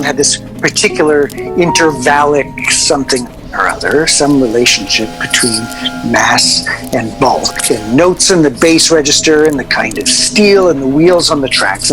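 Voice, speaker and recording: An actor playing a wry, exasperated record producer telling rambling anecdotes in a radio drama. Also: male voice, on the high side, poor audio. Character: wry, exasperated male music producer reminiscing about eccentric artists. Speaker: record label producer being interviewed. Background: music.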